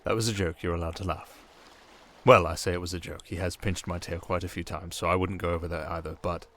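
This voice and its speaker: A man's voice: sits low, steady tone, fast talker, fairly bright tone, slight background noise. A British man playing a sardonic, restrained siren in an intimate ASMR roleplay, speaking in a wry, hesitant, confiding way. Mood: dryly teasing. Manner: wry, hesitant, confiding, addressing one listener. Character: sardonic, restrained, softly dangerous male siren sea creature. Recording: water and storm ambience behind voice. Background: running water and rain ambience.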